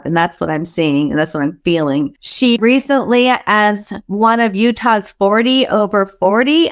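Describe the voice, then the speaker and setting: A woman's voice: mid-range voice, smooth. Effusive woman hosting a podcast. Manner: effusive, enthusiastic. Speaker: podcast host. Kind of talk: friendly interview chat. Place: small home office, indoors. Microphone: video call.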